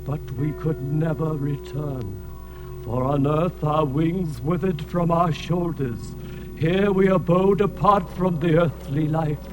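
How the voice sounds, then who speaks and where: Ancient tormented otherworldly being, fantasy audio play: high register, very slow, archaic and mournful, over music. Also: male voice, low-quality recording. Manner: archaic, grandiose, lamenting speech. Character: ancient winged alien being, sorrowful, tormented, solemn. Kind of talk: dramatized fantasy audio drama. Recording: music laid under the voice.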